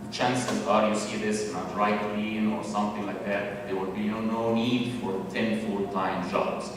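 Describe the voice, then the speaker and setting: A man's voice: low voice, recorded from a distance, noisy background. Egyptian man presenting in an echoey hall. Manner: halting, many fillers and restarts. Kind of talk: public presentation.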